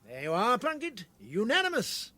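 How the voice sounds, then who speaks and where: A man's voice: very high voice, wildly swooping pitch, moderate pace, shouting, very noisy, exaggerated voice. A man playing an officious, stern but fair prison governor in a British radio comedy, formal and authoritative.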